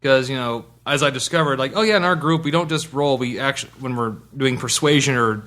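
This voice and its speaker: A man's voice: medium pitch, brisk, fairly bright tone. A man chatting casually as a podcast co-host, informal and sweary, with broken, restarted sentences. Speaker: podcast co-host and game player. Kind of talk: casual chat.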